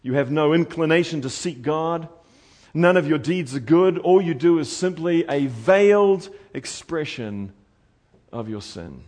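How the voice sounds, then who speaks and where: A man from New Zealand with a New Zealand accent, preaching a sermon in an earnest, pleading way, rising to loud urgency and dropping to quiet intensity. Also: on the high side, animated, measured pace.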